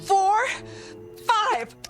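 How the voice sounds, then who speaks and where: A frightened woman standing her ground against an intruder. Her voice is very high and swings dramatically between alarm and indignant threat, over faint music in a suspense drama. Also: big pitch swings, extremely slow, exaggerated voice. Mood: defiant warning. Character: frightened, defiant woman confronting a supposed intruder.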